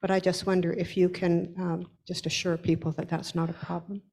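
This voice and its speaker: A woman asking a question at a church meeting, polite and measured. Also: on the low side, level tone, darkish tone. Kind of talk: public question at a parish meeting.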